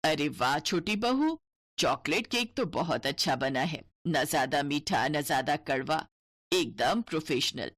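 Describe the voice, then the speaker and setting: An older mother-in-law in a cartoon family tale, played by a woman narrator. She speaks noticeably lower, in a calm and fair way, approving yet gently firm. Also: measured pace, no room sound, clean studio sound. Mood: pleased, approving.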